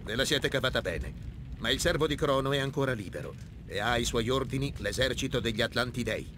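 A man playing a calm, noble, fatherly guide in an Italian video game dub, speaking in a measured, solemn way. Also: medium pitch, a little hiss or hum, lightly acted. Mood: solemn, instructive.

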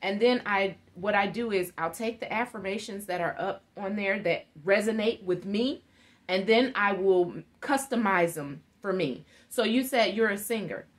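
A woman's voice: medium pitch, normal speed. A woman in her thirties giving an earnest motivational talk as a guest on a live video call from her living room. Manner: earnest, gestures while talking, laughs often. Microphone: webcam on a video call.

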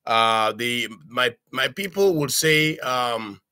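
An older man from Cameroon teaching a casual online language lesson, his voice bright and forceful. He speaks haltingly, stacking up fillers and restarts and asking "okay?" after each point. The webcam sound from his home study is dry and close. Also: medium pitch, lively intonation, no echo. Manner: halting, many "uh"s and restarts, frequent "okay?" check-ins. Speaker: language tutor. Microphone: webcam on a video call.

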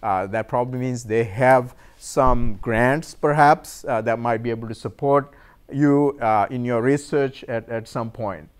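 A middle-aged man from Sri Lanka lectures to an audience in a room, his voice smooth and resonant at an ordinary pitch. He talks in a measured way and often stops on "uh" and "um" or repeats a word while he finds the next one. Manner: measured, hesitant with many fillers and repeated words. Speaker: university professor giving a talk. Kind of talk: informal lecture presentation. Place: lecture room in a cultural center.

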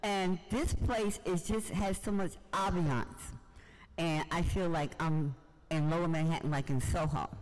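An older man shares personal anecdotes at a public meeting. His voice sits a little high and rings in the long reverb of a large hall. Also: steady tone, a little slow. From Harlem, New York, United States. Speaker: audience member, writer and poet. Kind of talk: unscripted personal storytelling. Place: large museum event hall, indoors.

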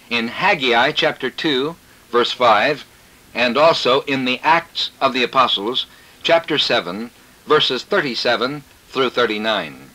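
A male preacher with a bright, forceful voice at an average pitch delivers a scripted scriptural sermon. His phrasing is formal and measured, each statement set out declaratively. It sounds like an old cassette tape recording. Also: rough recording.

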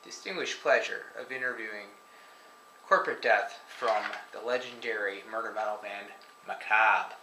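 A man's voice: fairly low pitch, normal speed, recorded from a distance. A man in his thirties interviewing a musician for a magazine, asking questions hesitantly with fillers and wry jokes, at a home dining table. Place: dining room at home, indoors. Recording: echoey room with faint noise.

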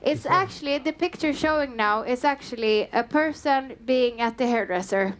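Southern Swedish-accented woman presenting, fairly high voice. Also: normal speed. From Sweden. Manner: chatty, frequent um and uh fillers, light self-deprecating humor. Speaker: content manager giving a meetup talk. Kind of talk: conference presentation. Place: dim meeting room with a small audience. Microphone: headset mic.

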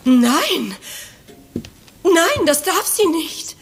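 A woman's voice: extremely high pitch, sing-song, extremely slow, exaggerated voice. An actress playing an anxious, indignant English lady in a retro radio mystery, dramatic and emotional. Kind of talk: retro German detective radio play acting.

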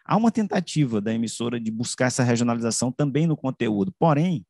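Brazilian man presenting research, haltingly. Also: medium pitch, lively intonation, darkish tone, no room sound, clean studio sound. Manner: halting, with frequent self-corrections and tag questions. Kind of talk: academic slide presentation, semi-improvised. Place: indoors, on a video call. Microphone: computer mic on a video call.

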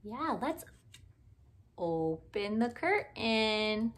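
Very slow, sing-song woman reading to children. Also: a little high, very animated. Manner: simple, exaggerated child-directed speech with questions and short phrases. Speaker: children's teacher reading a picture book aloud. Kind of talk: interactive read-aloud for young children. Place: living room at home, indoors.

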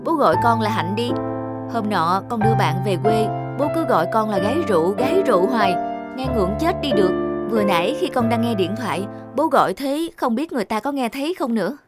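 Actress as earnest young daughter, music behind. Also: female voice, a little high, expressive, fast talker, lightly acted. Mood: embarrassed. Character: young adult daughter back from studying abroad, earnest. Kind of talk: Vietnamese cartoon dubbing.

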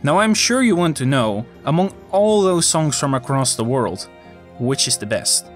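A man's voice: on the high side, sing-song, normal speed, soft background music. A man whose first language is Dutch, narrating a scripted video as the channel host and lifting his voice eagerly at the start of phrases.

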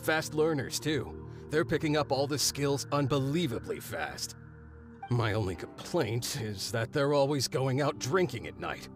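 An actor playing a burly, easygoing male worksite foreman in a game, talking in a folksy, relaxed way. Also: mid-range voice, measured pace, over music, natural voice.

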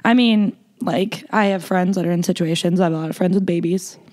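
Young woman guest talking loud and blunt, says like a lot, kinda low voice for a woman. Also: fairly even intonation, a little fast. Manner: loud, blunt, frequent "like" fillers. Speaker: podcast guest, makeup artist. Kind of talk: casual interview chat.

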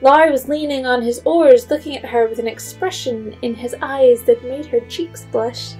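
A woman's voice: high voice, moderate pace, a little mellow, soft background music, close to an everyday voice, low-quality recording. A woman narrator reading a scripted period story as storytelling narration.

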